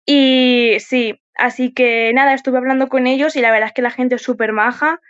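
A dull, lo-fi recording carries a fairly high female voice with an accent from Spain. She chats casually as a podcast guest, rambling informally through what she has to tell. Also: fairly even intonation, normal speed, fairly bright tone, clean tone. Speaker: podcast guest and event helper. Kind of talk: casual chat.

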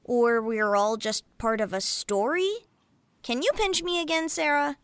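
A woman's voice: a little high, fairly even intonation, unhurried, a bit bright, clear, resonant tone, no echo. A woman playing a bewildered, complaining child in a family audio drama. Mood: unsettled.

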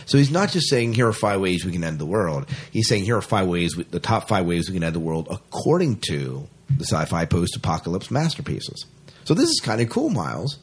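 Male podcast host, deep and slightly rough voice, chatty and keen, casual geek-show banter. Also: sing-song, brisk. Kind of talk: casual sci-fi podcast chat.

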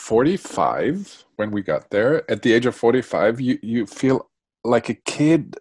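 A man's voice: medium pitch, big pitch swings, measured pace, a little mellow, slightly rough, no echo. Hesitant man, dry sound. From Germany. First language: Spanish. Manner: hesitant, with frequent restarts. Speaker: guest. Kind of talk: reflective interview conversation.

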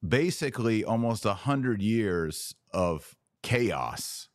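A man's voice: lowish voice, expressive, slow, dry recording, studio quality. A man hosting a conversational religious debate podcast, arguing emphatically with hypothetical examples. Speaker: podcast host.

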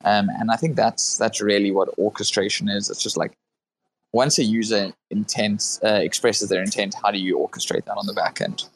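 A man's voice: on the low side, moderate pace, rough recording. South African man hosting, lots of ums. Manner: filler-heavy, restarts often. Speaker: host. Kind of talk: casual Twitter space chat.

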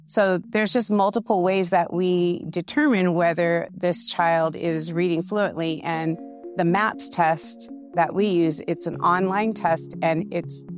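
A woman educator on a panel, explaining reading tests clearly. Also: fairly low pitch, steady tone, takes it slowly, soft background music. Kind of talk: panel discussion.

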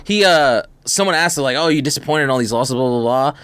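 A man talking casual sports banter as a podcast guest, excitable, slangy and prone to swearing. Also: mid-range voice, fast, clear, resonant tone. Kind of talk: casual sports banter, picking game winners.

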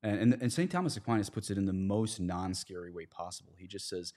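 A man with a low-pitched voice talks as a guest priest on a religious podcast. He is earnest and conversational, setting out his points in plain words and everyday analogies. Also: fast talker, a little mellow.

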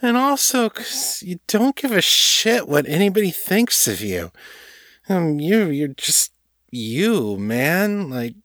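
A man's voice: sits high, big pitch swings, takes it slowly, fairly bright tone, dry recording, clean studio sound, voice pushed up, exaggerated voice. A man voicing a tall, drunk, whiny and exuberant woman with slurred, dramatic speech in an audiobook. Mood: slurred, rambling. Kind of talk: audiobook character acting.

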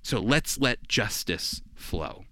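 A man's voice: lowish voice, big pitch swings, slow, sharp tone, no room sound, studio quality. A man teaching from scripture in an emphatic sermon style, addressing his listeners directly. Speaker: Bible teacher, radio show host.

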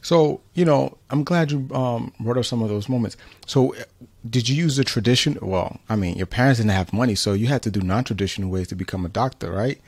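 A man's voice: mid-range voice, a little fast, a little mellow, no echo. A man from New York City hosting an interview, asking earnest and reflective questions. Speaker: interview host. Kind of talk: casual conversational interview.

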